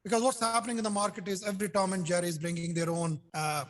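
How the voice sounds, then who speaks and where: Man, 60s, high voice, mixed Indian-French accent, eager video-call talker who restarts sentences. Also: flat delivery, fast. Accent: Indian and French blend. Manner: enthusiastic, repeats "so basically", frequent restarts. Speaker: customer and software developer. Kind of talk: casual video call chat.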